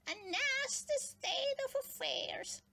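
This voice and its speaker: A woman voicing small, excitable gnomes and animals from a storybook, exclaiming urgently. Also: extremely high pitch, slow pace, pitched above the performer's own voice, full character voice. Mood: worried.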